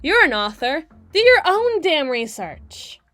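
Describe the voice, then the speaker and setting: A woman's voice: sits high, sing-song, unhurried, sharp tone, no room sound, exaggerated voice. A woman playing a bitter, snarky demon club DJ in an ASMR roleplay audio drama, with a biting, exasperated, foul-mouthed delivery. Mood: irritated, hostile. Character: snarky, crass, fast-talking female demon club DJ, bitter and defensive.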